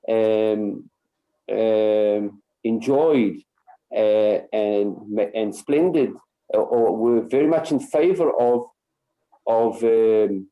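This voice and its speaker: Rabbi guy on a video call, super dark warm voice, stops and restarts a lot, lots of uhs. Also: mid-range voice, poor audio. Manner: halting, many fillers and repeated words. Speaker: rabbi giving a class. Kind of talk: Torah class over a video call.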